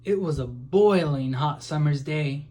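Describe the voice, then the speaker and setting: A person reading a children's picture book aloud with expressive, measured narration. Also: mid-range voice. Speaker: storybook reader.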